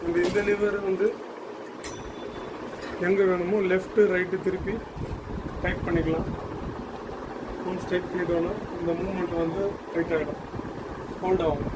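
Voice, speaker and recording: Male product demonstrator, high register, little intonation, halting unscripted explanation with English terms, noisy recording. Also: little pitch movement. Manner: halting, repeats words while explaining.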